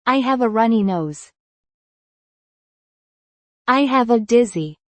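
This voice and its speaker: A woman reading short English lesson phrases in a slow, even drill style. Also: on the high side, dry recording. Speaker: English teacher voice.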